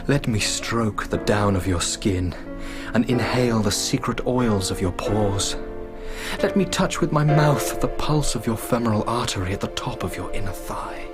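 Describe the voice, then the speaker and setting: A man's voice: fairly low pitch, normal speed, loud music behind the voice, lightly acted. An actor playing an earnest, lovesick young man with an impassioned, poetic delivery in a literary radio drama. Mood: rapt, sensual.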